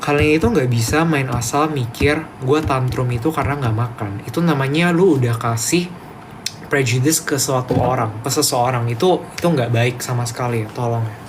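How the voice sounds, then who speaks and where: A man's voice: mid-range voice, normal speed, background noise. A young man with a Medan accent chatting casually on a live stream, reading viewers' comments aloud and ranting back at them emphatically. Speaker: livestreamer reading chat.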